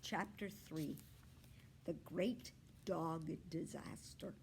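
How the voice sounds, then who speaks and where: A woman reading a children's storybook aloud in an animated, expressive way. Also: low-pitched, very slow, a little hiss or hum.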